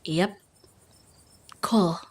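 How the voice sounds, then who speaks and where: A woman voicing an awkward, eager young newcomer who is the protagonist of a visual novel. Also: drawn-out words.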